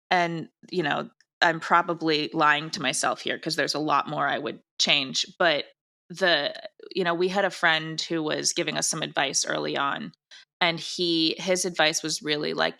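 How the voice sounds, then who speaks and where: A woman's voice: deep voice, fairly even intonation, unhurried, vocal fry, sharp tone. A woman chatting informally in an interview as a creator, speaking in an emphatic, heartfelt way. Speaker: audio drama creator and director being interviewed. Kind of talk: casual interview chat.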